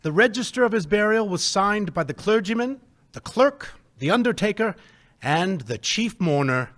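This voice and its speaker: A man narrating a live radio play with deliberate, emphatic storytelling. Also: sits high, expressive, fairly bright tone.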